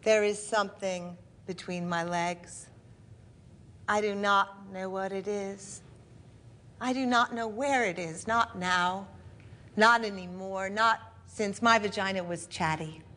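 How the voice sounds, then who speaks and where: A woman performing a stage monologue as a grieving young village woman who survived war, speaking in slow, halting, incantatory lines. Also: medium pitch, steady tone, a bit bright. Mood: haunted.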